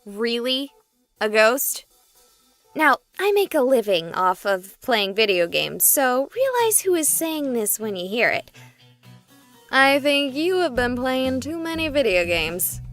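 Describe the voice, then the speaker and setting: A woman's voice: sits high, very animated, takes it slowly, a bit bright, no echo, faint music behind, heavily acted. An actress voicing a skeptical, exasperated young female game streamer in a visual novel.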